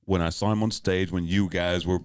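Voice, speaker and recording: A man's voice: deep voice, brisk, clear, resonant tone. A man hosting a podcast, chatting casually over beers, slangy and laughing as he tells stories. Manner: slangy, laughing, animated storyteller. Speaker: podcast host.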